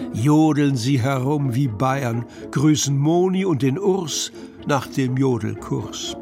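A man reciting Christmas poems for children as a scripted reading, with music behind him. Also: mid-range voice. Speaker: poetry reader.

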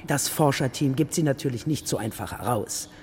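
A man playing a wily, smooth-talking figure pitching an invention in a children's radio play, casual and persuasive. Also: a little fast, a little mellow, big-room sound, a little hiss or hum, lightly acted. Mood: sly, persuasive.

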